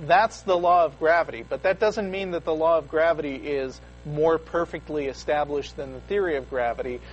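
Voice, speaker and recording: A man's voice: on the high side, muffled recording, soft background music. A young man co-hosting a call-in show, explaining ideas casually with lots of fillers and restarts. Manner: halting, many fillers and restarts. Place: studio.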